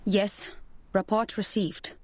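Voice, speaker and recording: A woman's voice: mid-range voice, level tone. Serious, formal woman commander. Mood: grave, formal. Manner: grave, formal, measured. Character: serious, stern female superior receiving reports. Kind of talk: video game character acting.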